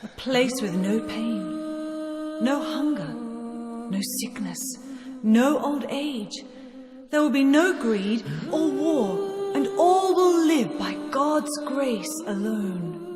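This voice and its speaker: High-voiced, solemn cult zealot. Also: female voice, animated. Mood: solemn, devout. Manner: solemn, reverent, slow preaching delivery. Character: solemn female cult zealot, pious, serenely fanatical. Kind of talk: dramatic video game acting. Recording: echoey hall, loud music behind.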